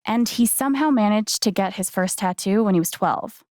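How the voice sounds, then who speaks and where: A woman's voice: mid-range voice, normal speed, darkish tone, dry recording, studio quality, close to an everyday voice. A woman voicing a friendly, wry young newcomer in a video game, talking in a casual, naturalistic, dryly joking way. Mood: fond, reminiscing. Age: young adult.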